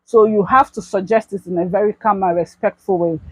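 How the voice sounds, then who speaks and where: A woman's voice: mid-range voice, fairly even intonation, fairly quick, breathy edge, dry recording, low-quality recording. A young Nigerian woman talking casually to her viewers in an advice vlog. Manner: chatty, addresses viewers as bestie. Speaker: YouTube vlogger.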